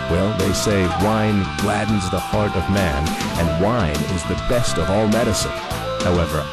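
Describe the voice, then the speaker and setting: A very low male voice over loud music a calm game villain playing self-help coach. He speaks in a measured, formal way, pompous and condescending toward everyone. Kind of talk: scripted anime game dub acting.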